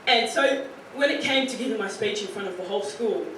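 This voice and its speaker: A young Australian woman in her late teens, speaking earnestly and casually to high school students, with many fillers like "you know" and "like.". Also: brisk, a bit bright. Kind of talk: informal talk to a student audience. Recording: very echoey room, picked up from far off, faint hiss.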